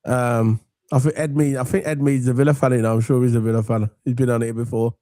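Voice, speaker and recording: A man from England with a croaky voice from a cold, hosting a casual football chat and rambling warmly to viewers. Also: medium pitch, fairly even intonation, quick pace, no echo, clean studio sound. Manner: chatty, rambling, gives shout-outs to viewers. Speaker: football podcast host.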